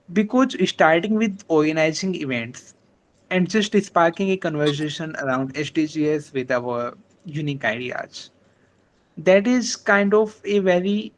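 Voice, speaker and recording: Casting note: man on a video call whose first language is Hindi, halting and deferential, slow delivery. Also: a little high, rough recording. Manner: hesitant, many fillers, polite. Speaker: meeting organizer. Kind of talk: online meeting discussion.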